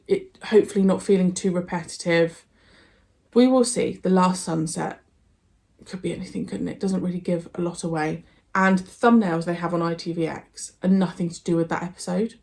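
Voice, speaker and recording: Croaky-voiced woman chatting to camera. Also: fairly low pitch, darkish tone. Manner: chatty and rambling. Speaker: reaction channel host. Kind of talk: casual reaction commentary.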